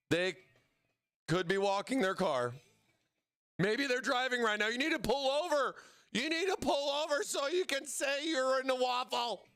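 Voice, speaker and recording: A man hosting a livestream giveaway, excitable and playful as he hypes up his chat, his voice strained from overuse. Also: extremely high pitch, clear, resonant tone. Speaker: livestream host.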